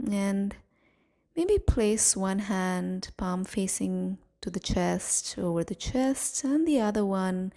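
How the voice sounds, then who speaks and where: A woman leading a guided meditation in a soothing, gentle manner, leaving long pauses between short phrases. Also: mid-range voice. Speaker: meditation guide and series host.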